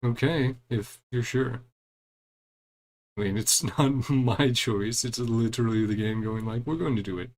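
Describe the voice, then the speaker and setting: A man's voice: mid-range voice, measured pace, a little mellow. A man giving casual commentary on a game as he plays, musing aloud and reading bits of dialogue. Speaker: gaming streamer.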